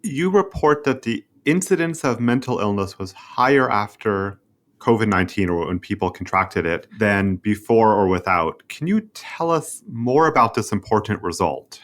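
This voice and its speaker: A man with a fairly low voice hosts a professional interview. He speaks politely, summing up what has been covered and then setting out his questions. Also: expressive, moderate pace, a bit bright. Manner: polite, recaps before asking. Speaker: podcast host, interviewer.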